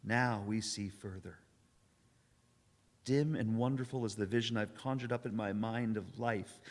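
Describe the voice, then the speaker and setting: An actor playing a haunted professor who survived an alien invasion, narrating solemnly and theatrically in a live radio drama monologue. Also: male voice, mid-range voice. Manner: solemn, theatrical, deliberate phrasing with suspenseful repetition. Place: live performance in a church hall. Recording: echoey hall, live stage recording.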